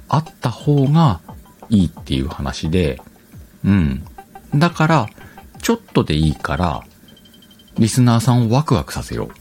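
A man's voice: medium pitch, darkish tone. A man hosting a casual advice talk, explaining conversationally and questioning his own phrasing as he thinks aloud. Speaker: podcast host.

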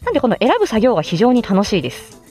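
Lady doing a chatty how-to livestream on her phone, super animated pitch, polite n encouraging, bit of music behind. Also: racing. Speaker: amateur voice actor explaining how to make voice samples. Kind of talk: casual explanatory livestream chat. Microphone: smartphone, close.

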